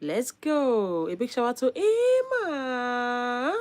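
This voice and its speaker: A woman hosting a casual live stream, slightly hoarse from a cold, upbeat and calling out viewers' names with frequent laughs. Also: on the high side, sing-song, takes it slowly.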